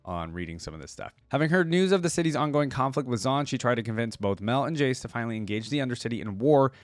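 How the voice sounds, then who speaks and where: A man's voice: medium pitch, animated, quick pace, no echo. A man giving casual reaction commentary, reading text aloud and adding conversational asides. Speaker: reaction video host.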